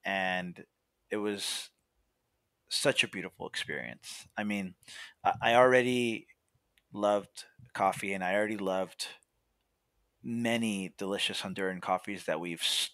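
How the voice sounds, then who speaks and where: A man's voice: fairly low pitch, a little slow, fairly bright tone, no room sound. A young American man talking in a chatty, casual storytelling way as a podcast guest, peppering his talk with "like" and "you know". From Monrovia, California. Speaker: podcast guest, coffee roaster.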